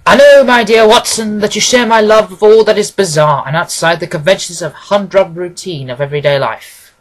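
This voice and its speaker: A man voicing a brisk, commanding, courteous gentleman detective from a storybook, speaking formally and emphatically. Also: sits high, lightly acted, rough recording. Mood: cordial, emphatic.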